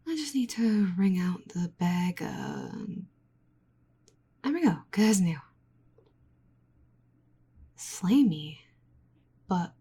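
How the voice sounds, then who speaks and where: A woman playing a nerdy, flustered slime-girl researcher in an ASMR roleplay, speaking softly with fussy, rambling, stammering exasperation. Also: medium pitch, husky. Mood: matter-of-fact.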